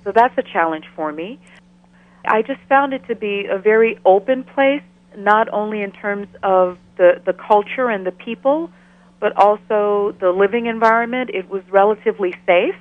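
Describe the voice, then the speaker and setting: A middle-aged woman from Ghana speaks over a thin, phone-like line with a dry sound and no room around it. She talks in a measured, thoughtful way and often restarts her phrases as she answers. Her pitch sits in the middle of the range. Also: no echo. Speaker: interview guest, biochemistry professor. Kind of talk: telephone interview. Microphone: telephone.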